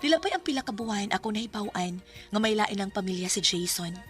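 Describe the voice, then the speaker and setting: A woman's voice: medium pitch, expressive, brisk, a bit bright, faint music behind, lightly acted. A Cebuano Filipino woman playing a tender, remorseful young expectant mother in a radio drama. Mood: reflective, subdued. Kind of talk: Visayan radio drama acting and narration.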